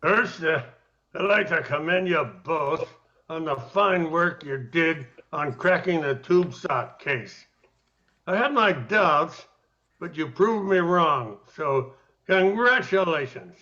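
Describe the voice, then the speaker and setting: A man playing a rough, impatient older police captain in a radio play, with a gruff, brusque manner. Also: on the high side, lively intonation, slow, bright, forceful tone, heavily acted. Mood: grudgingly approving. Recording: dull, lo-fi sound.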